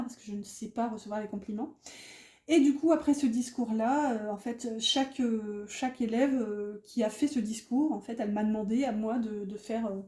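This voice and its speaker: A woman's voice: on the high side, mellow. A woman giving a casual, improvised tarot reading to viewers, chatty and often searching for her words. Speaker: tarot reader hosting an online guidance. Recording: roomy, echoey sound.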